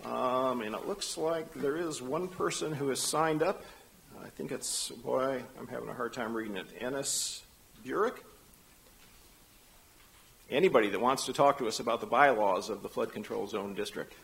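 A man chairs a county council meeting from the dais, moving briskly through motions and roll calls with the odd dry aside. His voice sits at an ordinary middle pitch. A faint hiss lies under the desk microphone in the council chamber. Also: creaky, a bit bright, poor audio.

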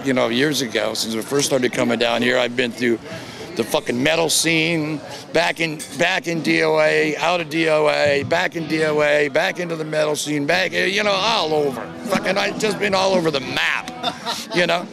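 Middle-aged punk guy, rough raspy voice, kinda high, loose and cussing, talking outside. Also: steady tone, moderate pace, over music. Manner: profane, rambling, laughing. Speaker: touring punk musician. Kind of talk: casual street interview. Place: city sidewalk outdoors.

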